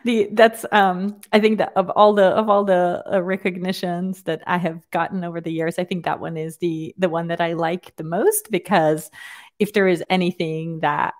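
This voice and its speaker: A woman from Serbia whose first language is Serbian. Her voice is pitched somewhat low for a woman. She answers interview questions in a relaxed conversational style, speaking into a headset on a video call. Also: normal speed. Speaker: podcast co-host being interviewed. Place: indoor room, on a video call.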